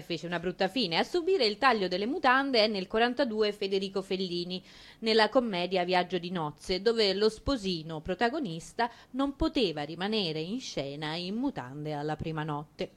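A woman narrating a theatrical monologue as a stage performer, with an ironic, expressive manner. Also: mid-range voice, normal speed.

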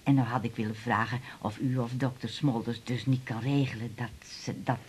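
A woman's voice: extremely low pitch, fairly even intonation, normal speed, a little mellow, slight background noise. An actress playing a middle-aged mother, fussy and stammering, who chats hesitantly about her teenage daughter. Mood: fretful. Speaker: patient's mother. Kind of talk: 1980s radio drama acting.

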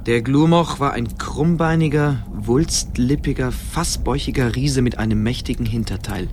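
An actor narrating a fantasy radio play as a grave, calm storyteller with measured suspense. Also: male voice, mid-range voice, background noise, close to an everyday voice. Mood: measured, ominous.

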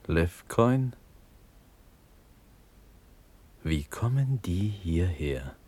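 Very slow, dreamy young prince. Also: male voice, deep voice, big pitch swings, warm tone, slightly rough, full character voice. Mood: tender, wondering. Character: dreamy, ardent young prince and cavalry officer, overwrought. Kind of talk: German classical verse radio drama acting.

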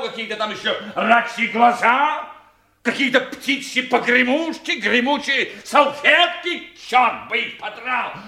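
An actor playing a domineering, sardonic boss who grills a subordinate in clipped, sarcastic phrases, in radio drama style. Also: male voice, extremely high pitch, gravelly, pushed and bright, exaggerated voice. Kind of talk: Russian radio drama acting, circa 1990.

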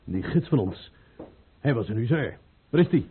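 A man's voice: mid-range voice, big pitch swings, moderate pace, a little hiss or hum. An actor playing a suspicious, blunt general in a radio play, formal and challenging in his speech. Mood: shocked. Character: suspicious, blunt male general among conspirators. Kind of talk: Dutch radio play acting. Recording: thin, phone-like old radio recording.

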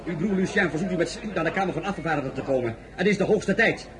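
An actor playing an urgent messenger with a pressing summons in an audio drama. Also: male voice, a little high, fast, close to an everyday voice. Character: hurried, urgent messenger to a general. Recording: crowd noise behind.

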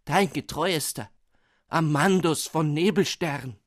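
A man's voice: fairly high pitch, takes it slowly, no room sound, clean studio sound, pitched above the performer's own voice. A man voicing a lovesick young poet who writes in grandiloquent, swooning phrases. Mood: pathetic.

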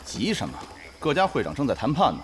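A bossy male squad leader in an audio drama. He fires off curt orders extremely fast with lively swings in pitch, over faint background noise. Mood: impatient, ordering. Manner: curt, commanding. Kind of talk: Mandarin audio drama acting.

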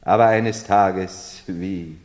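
A brooding self-styled emperor in a lowish voice, imperious and theatrical. He halts, then rushes on, in 1970s radio drama manner, inside a long hall reverb. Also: steady tone, darkish tone. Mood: brooding, intense. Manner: theatrical, halting then rushing, solemn. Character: unsettled man who believes himself emperor, brooding, imperious.

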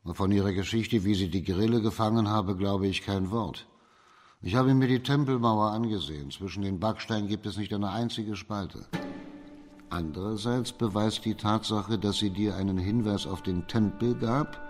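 An actor playing a shrewd, authoritative judge in a German radio crime drama, speaking in a measured, formal way. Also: male voice, fairly low pitch, darkish tone, faint music behind, lightly acted. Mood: grave, deliberate. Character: authoritative, shrewd male judge in imperial China.

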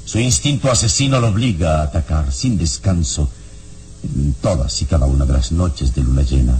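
An actor playing a calm, mysterious hero in a vintage radio serial, phrasing his words slowly and solemnly. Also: very deep voice, soft background music. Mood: grave, ominous. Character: mysterious heroic man of keen senses, calm and solemn.

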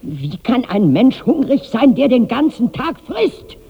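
A woman's voice: a little high, sing-song, unhurried, breathy edge, exaggerated voice. An actress playing a chattering, plain-spoken servant woman, earnest and insistent, in 1960s radio drama style. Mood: puzzled, indignant. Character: fussing, plain-spoken female servant to a rich master. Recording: dull reverberant 1960s radio recording.

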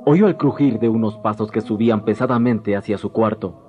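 A man's voice: on the low side, fairly quick. A man narrating a scripted tale dramatically as a radio drama storyteller. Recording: dull lo-fi 1990s radio recording, music behind.

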